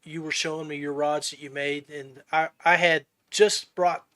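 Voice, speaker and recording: Folksy guy podcast host, voice a bit on the high side, just chatting. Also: flat delivery, normal speed, bright, forceful tone. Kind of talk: casual interview chat.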